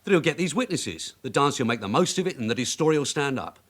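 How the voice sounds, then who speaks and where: An actor playing a shrewd, middle-aged detective sergeant in a radio crime drama, brisk, persuasive and controlled. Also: male voice, mid-range voice, sing-song, a bit bright. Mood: urgent, determined. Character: shrewd veteran London detective sergeant, wily and insistent.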